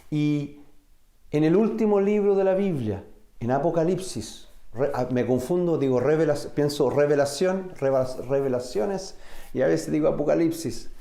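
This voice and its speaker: A man from the United States, a native English speaker, preaching a sermon in a deliberate way, pausing and searching for words. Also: fairly high pitch, warm tone. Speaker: pastor. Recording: roomy, echoing sound.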